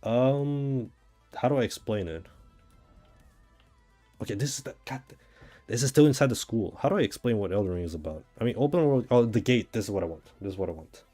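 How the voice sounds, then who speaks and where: A man's voice: mid-range voice, animated, brisk, warm tone, faint music behind. A man from Brazil with a Brazilian accent, chatting casually and thinking aloud in a rambling way as he streams a game. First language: Portuguese. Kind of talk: casual chat while gaming.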